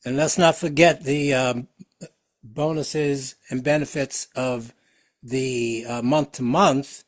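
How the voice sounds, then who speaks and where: A man calling in with a question on a business call, halting and stumbling over the starts of words. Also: mid-range voice, fairly even intonation. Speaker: caller asking a question.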